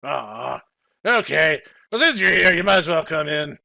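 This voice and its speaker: A gullible country villager from a game story, played by a man. The talk is folksy and grumbling, with swinging pitch and a bright, forceful edge. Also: animated, measured pace, gravelly, no room sound, exaggerated voice. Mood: relieved, resigned. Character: gruff, gullible rustic villagers with farm tools.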